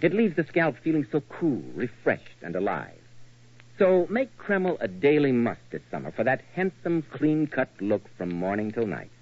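A man's voice: mid-range voice, very animated, normal speed, slightly rough, no room sound. An American man hosting and reading sponsor copy in a polished, emphatic 1940s radio announcer style. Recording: dull, lo-fi old radio recording.

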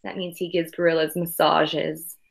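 A woman's voice: deep voice, level tone, moderate pace, creaky, slight background noise. A woman in her thirties reading a picture book aloud as a teacher, expressively, with simple asides for children. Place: small room at home.

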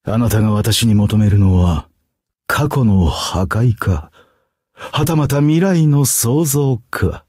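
An actor voicing a suave, poetic masked fox phantom thief in a video game, with flowery, courtly, dramatic phrasing. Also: male voice, on the low side, slow, darkish tone, breathy edge, no echo, clean studio sound. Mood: courtly, amused. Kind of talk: stylized Japanese game character voice acting.

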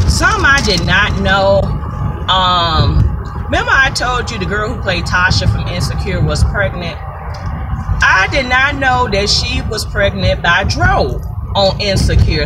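A middle-aged woman chats away from the driver's seat in a casual gossip vlog, scattering "you know" through every sentence. She is recorded on a phone set a little away from her. Heavy road and street noise runs underneath. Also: fairly low pitch. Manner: chatty, leans heavily on "you know" fillers. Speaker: vlog host. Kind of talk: casual celebrity gossip chat. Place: inside a car. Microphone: phone camera mounted at the front of the car. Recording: loud road noise, slightly distant mic.

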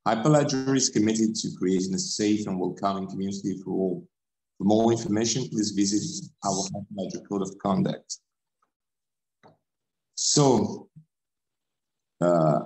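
A man's voice: low voice, a little mellow, roomy sound, poor audio. A man hosting a video call, reading out a policy statement and then introducing the speaker. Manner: formal reading, then brief welcoming. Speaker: meeting host.

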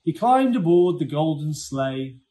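A man's voice: fairly high pitch, very animated, a little slow, warm tone. A man in his forties reading a rhyming story aloud. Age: 40s. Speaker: poem reader. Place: indoor room with brick wall.

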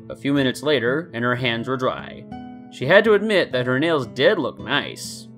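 A man narrates a story in a scripted reading style. His pitch sits in the middle range, and light guitar music plays behind him. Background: soft guitar music.

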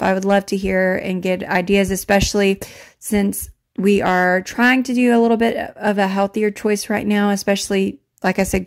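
A young woman, a stay-at-home mom vlogger, chatting casually in a voice-over and laughing easily. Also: medium pitch.

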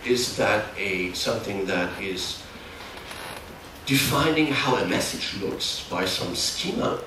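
A man's voice: on the low side, lively intonation. German man lecturing in an echoey hall. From Germany, near the Dutch border. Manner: halting, self-correcting explanation. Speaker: conference speaker. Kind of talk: technical workshop talk. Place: large darkened conference hall. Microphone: far camera. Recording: echoey hall, distant mic, background noise.

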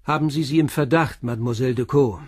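Actor as a probing, composed police commissioner. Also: male voice, mid-range voice, breathy edge, no room sound, studio quality, close to an everyday voice. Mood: persistent, pressing. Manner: probing, measured questioning. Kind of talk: German crime radio play dialogue.

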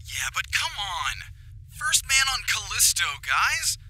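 An actor playing a boastful, boyishly excited astronaut, exuberant and teasing, in an audio drama. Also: male voice, high-pitched, slow pace, noisy background, full character voice. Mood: gleeful, teasing.

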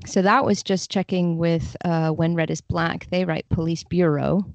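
A woman's voice: fairly low pitch, fairly even intonation, moderate pace, gravelly, no echo, dull audio. A woman speaking casually as a guest in an interview, explaining her work. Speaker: podcast guest, literary translator.

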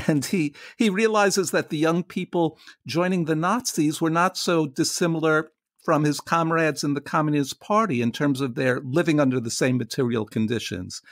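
A man's voice: fairly high pitch, moderate pace, no room sound, studio quality. A man interviewing on radio in a conversational way, summarizing ideas and putting questions.

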